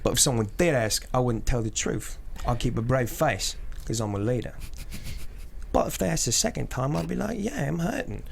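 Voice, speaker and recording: A man playing a cocky, foul-mouthed leader of a homeless crew, gravelly and swaggering, in a comedy script read. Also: mid-range voice, animated, normal speed. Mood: wounded bravado. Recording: slight room noise.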